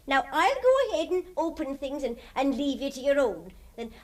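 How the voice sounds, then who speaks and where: An actress playing a deferential, superstitious working-class housekeeper in a radio drama, chatty and hesitant, with folksy turns of speech. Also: female voice, high-pitched, sing-song, moderate pace, far from the mic. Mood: uneasy.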